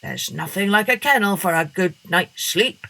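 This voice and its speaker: A woman voicing a friendly male yard dog in a storybook reading, gruff and coaxing. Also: on the low side, lively intonation, moderate pace, bright, forceful tone, no echo, exaggerated voice.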